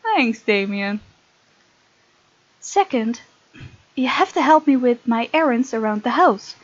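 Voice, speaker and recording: A woman's voice: a little high, lively intonation, slow, dull audio. A woman hosting a gaming video, reading visual novel text aloud with chatty, giggly commentary.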